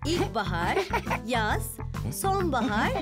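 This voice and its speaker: A prim, very high-voiced lady bird teacher from a children's cartoon. She instructs in a lively sing-song, with loud music underneath. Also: slow pace, full character voice. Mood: instructive, prim. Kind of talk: animated children's cartoon acting.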